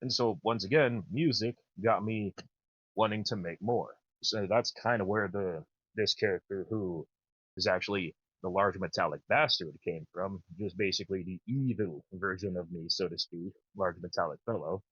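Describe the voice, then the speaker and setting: A man in his thirties with a naturally low voice, chatting casually and enthusiastically as a podcast host. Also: normal speed, a bit bright, slightly rough, no room sound, lo-fi sound. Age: 30s. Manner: rambling, enthusiastic. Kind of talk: casual chat.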